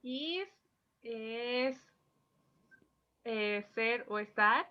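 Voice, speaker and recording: Halting, very slow woman on a call. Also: on the high side, clear, resonant tone. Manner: halting, hesitant. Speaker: teacher trainee playing a student. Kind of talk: reading a beginner's text aloud. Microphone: video call. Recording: dull video call audio.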